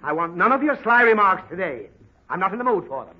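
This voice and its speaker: A man's voice: high-pitched, sing-song, fast talker. An actor playing an exasperated, scolding father in a 1940s radio comedy, fussy and flustered. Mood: stern, weary. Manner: fussy, flustered, sputtering reprimands. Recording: muffled, very noisy 1940 radio recording.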